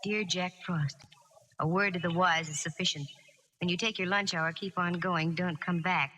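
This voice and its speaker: A breezy, wisecracking lady detective in a 1940s radio drama. Her voice is bright and forceful, with wry, confident delivery. The old recording has reverb and faint noise. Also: fairly low pitch, steady tone, normal speed. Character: wisecracking, confident female private eye. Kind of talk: 1940s radio acting with first-person narration.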